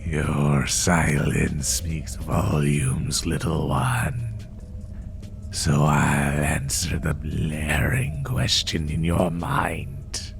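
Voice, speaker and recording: A man voicing a sadistic spider monster in a horror roleplay, taunting the listener with menace in a breathy, gravelly half-whisper. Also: a bass voice, level tone, faint music behind, heavily acted. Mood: quiet menace. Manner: slow, taunting, menacing, drawn-out phrases. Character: sadistic male spider monster hunting prey through the woods.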